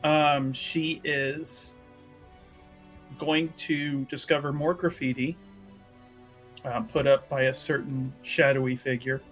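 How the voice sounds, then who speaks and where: A man playing a tabletop roleplaying game, narrating his character's actions casually in halting, wordy improvised sentences that often restart. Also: mid-range voice, steady tone, fairly bright tone, soft background music.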